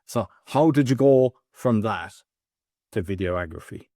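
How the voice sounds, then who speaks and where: A man hosting a podcast interview, asking questions in a halting way with many restarts. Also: on the low side, lively intonation, warm tone, no echo, clean studio sound. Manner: halting, restarts and repeats words. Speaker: podcast host. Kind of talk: casual interview talk.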